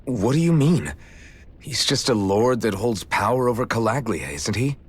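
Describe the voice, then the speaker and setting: An actor playing a wry, determined young swordsman in a fantasy video game, husky and plain-spoken. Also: medium pitch, animated, normal speed. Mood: calm, determined. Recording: faint game music under voice.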